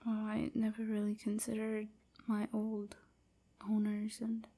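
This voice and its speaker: A woman playing a timid, submissive vampire slave in an intimate audio roleplay, hesitant and stammering, with a soft, whispery, apologetic delivery. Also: medium pitch, monotone, measured pace. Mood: submissive, guilty. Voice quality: soft, breathy, often whispery. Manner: hesitant, stammering, hushed and breathy.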